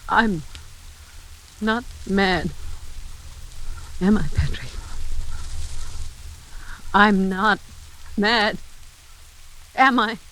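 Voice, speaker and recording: Slow-speaking, fragile, troubled wife. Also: female voice, medium pitch, a bit bright. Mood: wounded, desperate. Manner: halting, earnest, dramatic. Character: gentle, fragile, distressed wife of a scientist. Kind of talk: 1940s radio acting. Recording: noisy old radio recording, rain-like hiss.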